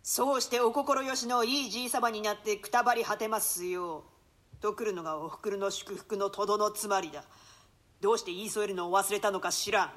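A woman playing a scheming male royal villain in a classical tragedy, with measured, mock-courteous, archaic speech. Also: medium pitch, a bit bright, close to an everyday voice. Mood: sardonic aside. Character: scheming royal brother, feigning piety, sardonic villain. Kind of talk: theatrical classical Japanese play reading.

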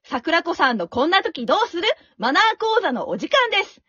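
A polite, upbeat show assistant with a high, bright voice that jumps around, played by a woman. The recording is dry and dull, like a lo-fi home-made radio drama. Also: a little fast, no room sound, exaggerated voice. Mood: welcoming. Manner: polite, lively reactions. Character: polite female show assistant, straight man.

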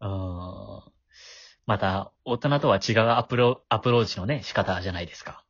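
A man's voice: on the low side, normal speed, vocal fry, fairly bright tone, breathy edge, dry recording. A man who works as a voice actor and writer, chatting casually and full of compliments about a voice drama. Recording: dull lo-fi audio.

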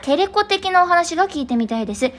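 A woman with a western Japanese accent, an actress co-hosting a podcast, chatting casually and quick to chime in. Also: very high-pitched, animated. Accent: Kansai or western Japanese. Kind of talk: casual chat, reading listener letters.

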